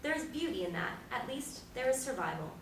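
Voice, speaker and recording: A woman playing an aging Beat philosopher, poetic, measured and hopeful, in a stage play. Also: on the high side, very animated, a bit bright. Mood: reflective, hopeful. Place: live stage performance recorded from a distance. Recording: roomy, distant mic, faint noise.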